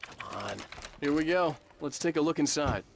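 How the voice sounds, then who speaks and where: An actor playing a troubled, weary American writer in a game, with brooding, terse dramatic narration and dialogue. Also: male voice, on the high side, lively intonation, moderate pace, creaky, slight background noise. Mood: protective. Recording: music behind the voice.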